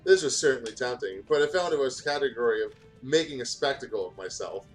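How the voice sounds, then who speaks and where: A man's voice: medium pitch, expressive, quick pace. Man reading aloud over music. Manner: informal, sweary, breaks off to riff. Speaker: gaming channel host reading fan fiction aloud. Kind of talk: jokey read-aloud with casual chat. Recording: music playing behind the voice.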